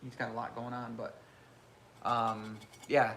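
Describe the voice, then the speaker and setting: A man in his thirties with a loud voice, hosting a casual live question-and-answer chat and rambling with self-mocking humor. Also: medium pitch, level tone, a little slow, a little hiss or hum. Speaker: channel host.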